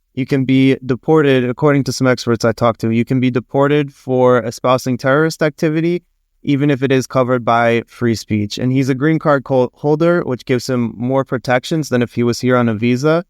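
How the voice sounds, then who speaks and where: A male reporter explains a story on a news podcast with a smooth, resonant voice. He speaks informatively with small restarts, recorded dry and close. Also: mid-range voice, a little mellow, dry recording.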